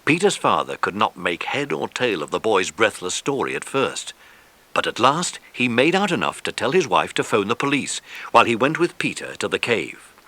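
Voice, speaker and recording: A man narrating a children's adventure story from a script in an expressive storytelling style. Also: fairly low pitch, normal speed.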